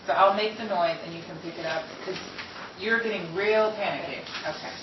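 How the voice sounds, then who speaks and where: A woman chatting casually as she leads a voice acting rehearsal, giggly and excitable. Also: on the low side, brisk. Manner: giggly, excitable, often breaking into laughter. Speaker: rehearsal leader and voice actor. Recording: echoey, distant, muffled, noisy room recording.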